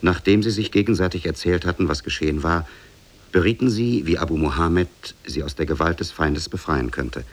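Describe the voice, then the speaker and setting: A man narrating a fairy tale for 1950s German radio in a measured, solemn storytelling manner. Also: sits low.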